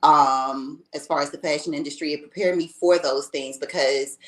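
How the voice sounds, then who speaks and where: A woman's voice: low-pitched, steady tone, normal speed. Laid-back woman guest, echoey room. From Washington, D.C. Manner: casual, laughs easily, calls host girl. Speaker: guest, makeup artist.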